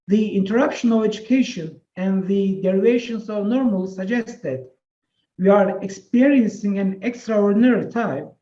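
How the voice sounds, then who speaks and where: A man whose first language is Turkish, an associate professor giving a measured, prepared webinar talk with some fillers. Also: sits high, warm tone, echoey room, dull audio. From Turkey.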